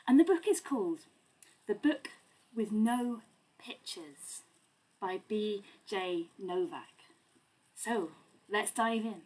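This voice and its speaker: A woman in her thirties reading a children's picture book aloud in a theatrical, expressive, deliberate way at home. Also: mid-range voice, slightly rough, low-quality recording. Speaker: storyteller. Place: small home room lined with bookshelves.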